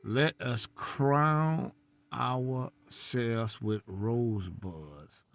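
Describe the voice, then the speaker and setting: A man reading scripture aloud and commenting on it, phrasing deliberately and haltingly, with long pauses. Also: medium pitch, a bit bright, no room sound. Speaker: religious reader and commentator. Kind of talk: slow scripture read-along with commentary.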